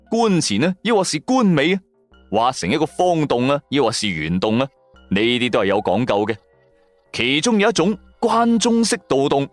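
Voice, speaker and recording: Animated Cantonese man narrating an audio drama. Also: medium pitch, no echo.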